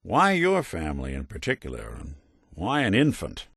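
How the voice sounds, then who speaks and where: A man's voice: deep voice, huge pitch swings, normal speed, creaky, a bit bright, husky, no room sound, studio quality, exaggerated voice. A man playing a world-weary android private detective in a video game, tough but kind, with wry, clipped hardboiled phrasing. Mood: businesslike, probing. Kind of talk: noir detective game dialogue.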